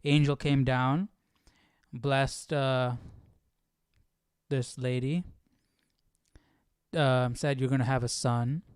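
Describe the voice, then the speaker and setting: A man's voice: medium pitch, fairly even intonation, slow pace, pauses often, clean tone, dry recording, clean studio sound. A man hosting a Bible study stream, chatting casually and musing with questions as he thinks aloud. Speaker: livestream host of a Bible study.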